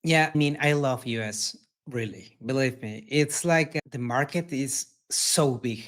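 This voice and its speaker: Mexican-accented founder, earnest and repetitive. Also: male voice, medium pitch, measured pace, a bit bright. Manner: earnest, repeats words, non-native phrasing. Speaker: startup founder guest. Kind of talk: casual interview answers.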